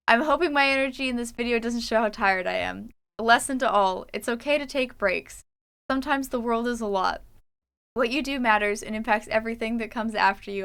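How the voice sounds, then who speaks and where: A young Canadian woman chats her way through a gaming livestream, sometimes laughing and sometimes reading her own journal entries aloud. Her voice is bright and very clean, sitting a little high. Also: clear, resonant tone. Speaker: streamer. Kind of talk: casual livestream chat while gaming.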